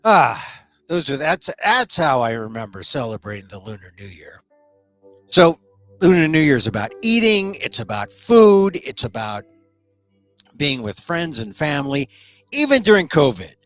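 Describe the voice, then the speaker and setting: Male talk-show host, middle-aged, rambling and chatty, slightly high voice, animated. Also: moderate pace, no room sound, soft background music. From United States. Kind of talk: casual solo talk show.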